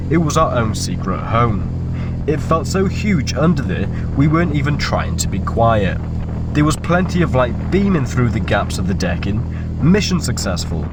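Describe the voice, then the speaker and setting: A British man telling a personal story as scripted, informal narration in an animated, sweary storytime manner. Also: medium pitch, background noise. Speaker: storyteller on own video channel.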